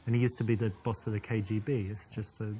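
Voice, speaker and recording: A man's voice: lowish voice, little pitch movement, fast talker, warm tone, close to an everyday voice. A man with a British accent, from London, acting out flirting conversation and dating advice in an interactive video game. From London, England.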